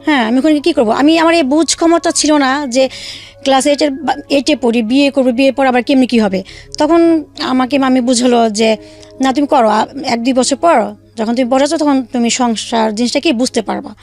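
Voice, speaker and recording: A woman telling her own life story in a halting way, with many fillers and restarts. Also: sits high, darkish tone, soft background music. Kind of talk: emotional personal storytelling.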